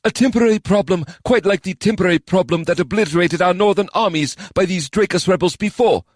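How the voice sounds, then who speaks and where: Guy doing mad elf warrior, high strained voice, yelling and scoffing. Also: sing-song, normal speed, no echo, clean studio sound. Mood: angry. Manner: scoffing, raised voice. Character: angry male elven warrior leader.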